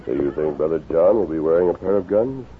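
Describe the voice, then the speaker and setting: A really deep calm cowboy hero, firm n earnest, old hissy muffled 50s radio show. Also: male voice, fast. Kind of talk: 1950s radio western acting.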